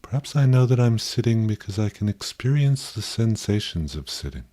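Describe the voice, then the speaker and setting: A man leading a guided meditation in a gentle, contemplative way, with pauses and softly posed questions. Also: on the low side, very animated.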